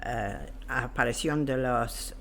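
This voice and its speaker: Man, interview guest, halting delivery, searching for words, gravelly creak, unhurried historical explanation. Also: medium pitch, a bit bright, slight background noise.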